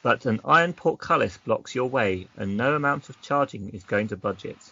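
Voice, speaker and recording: A man acting as game master, reading gamebook narration aloud in an unhurried way and mixing it with casual chat. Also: medium pitch, animated, gravelly, sharp tone, husky. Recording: dull lo-fi recording.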